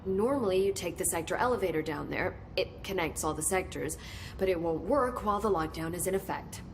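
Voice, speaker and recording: A woman's voice: on the low side, lively intonation, fairly quick. An actress playing an earnest, curious woman scientist in a video game cutscene. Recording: game cutscene with clear ambient noise.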